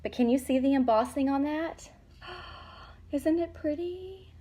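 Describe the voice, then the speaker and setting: A woman's voice: sits high, takes it slowly, mellow. A woman, chatting casually and gushing as she shows makeup products to the camera. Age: 40s. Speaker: makeup seller showing products. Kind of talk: casual product demo vlog.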